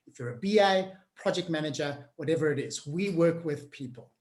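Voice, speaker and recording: A woman's voice: low-pitched, animated, normal speed, a little mellow, reverberant. A woman hosting an online workshop on change management. She coaches the group directly in an upbeat, encouraging way and keeps checking in with them.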